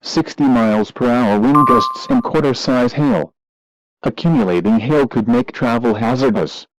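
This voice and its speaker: A man reading scripted weather alerts as a radio announcer in a measured, impersonal, formal manner. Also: medium pitch, lo-fi sound, loud music behind the voice.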